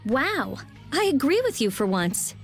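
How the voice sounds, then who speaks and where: An actress voicing a brainy, blunt teenage girl mage in a video game, with a brisk, matter-of-fact, snappy delivery. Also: high voice, sing-song, soft background music, full character voice. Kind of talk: animated game voice acting.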